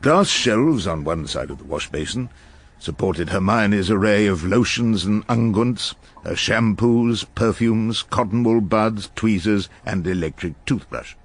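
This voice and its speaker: A man's voice: on the low side, a little slow, husky. An actor playing an irascible, witty elderly English barrister in a radio drama, pompous and ornate in his phrasing as he talks and narrates. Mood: wry, measured. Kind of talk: radio drama acting and wry first-person narration.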